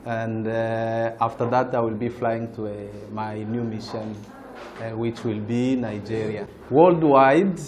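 A Burundian man introducing himself in a language school interview, slow and halting, with slight room noise. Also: on the low side, monotone, a little mellow. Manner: halting, hesitant. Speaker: student interviewee. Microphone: lapel.

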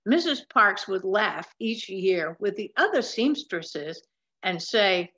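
An older woman reading a picture book aloud in a measured, explanatory manner, recorded on a webcam at home. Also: mid-range voice, expressive, fairly bright tone. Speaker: storybook reader. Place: large wood-ceilinged room at home. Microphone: webcam or laptop mic at desk distance. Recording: slightly dull webcam sound.

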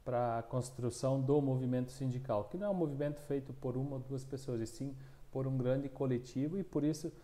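Man, thirties, southern Brazilian accent, halting speech, mellow dark tone, lapel mic, small room. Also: mid-range voice. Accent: southern Brazilian Portuguese. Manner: halting, many restarts and fillers. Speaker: regional union coordinator. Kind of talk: interview answers. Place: small room, plain wall, indoors.

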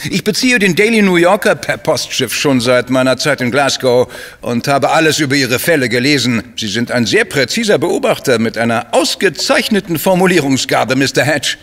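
Florid gentleman author, medium pitch, pompous, polite, eloquent, radio play. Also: lively intonation, moderate pace. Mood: effusive, grandiose. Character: suave, self-important male crime novelist, effusively polite. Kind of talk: German radio drama acting.

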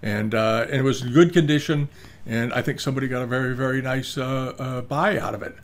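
Older male host, relaxed chatty auction talk, meandering with fillers, desk webcam recording. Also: mid-range voice, brisk. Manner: rambling, many fillers and restarts. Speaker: antiques auction commentator. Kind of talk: casual video commentary. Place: indoor room, screen-share with webcam inset.

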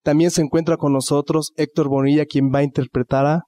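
Young man, Mexican accent, slightly high pitch, interviewer, on-camera presenting, handheld mic. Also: level tone, a little fast, mellow. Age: young adult. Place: indoor office lounge at a radio station. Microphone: handheld reporter microphone.

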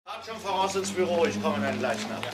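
An actor playing a composed police commissioner who questions people in a conversational way in a German crime radio play. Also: male voice, high voice, animated, brisk. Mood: probing. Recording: noisy old radio play recording.

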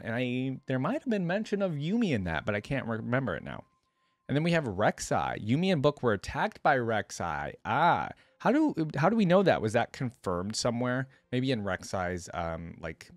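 A young man giving casual reaction commentary, reading aloud and musing with expressive exclamations into a close desk microphone. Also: mid-range voice, dry recording. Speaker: reaction video host. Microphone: close desktop microphone.

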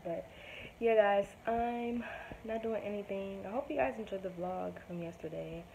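A woman's voice: medium pitch, moderate pace, gravelly, mellow, lo-fi sound, a little hiss or hum. A young woman vlogging casually to camera at home, rambling with many ums while losing her voice from a sore throat. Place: small home room indoors. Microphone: phone or webcam at arm's length.

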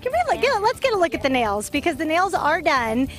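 A woman's voice: sits high, sing-song, fast, soft background music. An American woman in her thirties, a chatty TV field reporter doing a live morning interview outdoors on a handheld microphone. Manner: chatty, conversational. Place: outdoors in a dry hillside yard.

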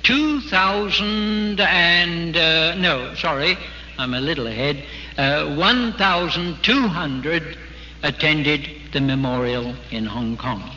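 Bright-voiced man preaching in a hall. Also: fairly high pitch, slow pace, slight background noise. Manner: earnest, exhorting. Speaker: speaker at a religious convention. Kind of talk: sermon. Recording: dull archival recording in a big hall.

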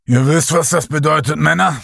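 A man's voice: a little high, moderate pace, vocal fry, fairly bright tone, no room sound, studio quality, pitched above the performer's own voice, heavily acted. A man voicing a domineering bearded ship captain who bellows threats at his crew. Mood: bullying, boisterous.

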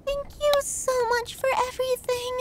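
A woman voicing a needy, affectionate, excitable young puppygirl in an ASMR roleplay, cutesy, pouty and clingy. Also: extremely high pitch, normal speed, a little hiss or hum. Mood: delighted, grateful.

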